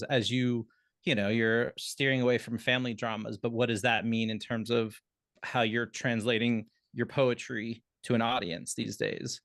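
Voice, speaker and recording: A man's voice: mid-range voice, a bit bright, no room sound, studio quality. A man on a theatre panel, musing aloud and asking questions in a casual, conversational way.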